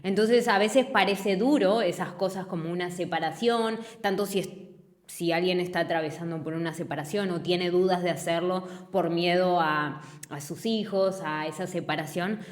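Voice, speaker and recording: Argentine woman, lowish voice, reflective, rambling storyteller, echoey room. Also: normal speed. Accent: Argentine, Buenos Aires. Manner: earnest, reflective, rambling with restarts. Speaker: fitness business co-founder, guest. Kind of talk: relaxed conversational interview.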